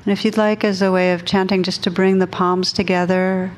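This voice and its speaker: A woman meditation teacher leading a guided meditation for a group, speaking gently and unhurriedly with long pauses between phrases. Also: medium pitch, flat delivery, slight background noise.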